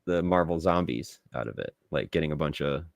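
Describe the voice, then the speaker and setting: A man's voice: extremely low pitch, fairly quick, gravelly, no room sound, studio quality. A man co-hosting a podcast, giving opinions on films and comics casually, bluntly and emphatically, with swearing. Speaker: podcast co-host.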